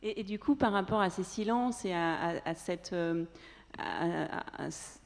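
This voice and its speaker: Halting woman moderator in a reverberant hall. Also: on the low side, gravelly. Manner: halting questions with many fillers. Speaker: panel moderator. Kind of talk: live panel moderation. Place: theatre stage.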